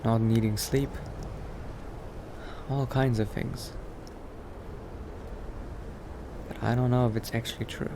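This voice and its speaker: A man playing a thoughtful scholar who is turning into a vampire, musing aloud haltingly in an improvised roleplay monologue. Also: mid-range voice, flat delivery, background noise, lightly acted.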